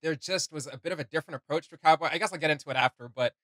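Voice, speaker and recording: A young man gives casual reaction commentary. His voice is bright and forward, a little high for a man, in a dry, close-sounding room. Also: racing, dry recording. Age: young adult. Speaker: reaction video host. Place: small indoor room with gaming setup.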